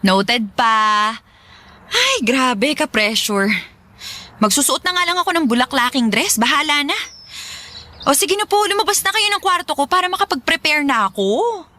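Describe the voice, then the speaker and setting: An actress playing a nervous, lovestruck young daughter in a Filipino radio drama, expressive and easily exasperated. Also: female voice, high-pitched, moderate pace, exaggerated voice. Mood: flustered. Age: young adult.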